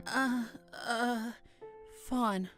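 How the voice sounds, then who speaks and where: Female reader as fragile young forest boy for a game, shy, hesitant, lightly high-pitched, unhurried. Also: steady tone, soft background music. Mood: timid. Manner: timid, halting, soft-spoken.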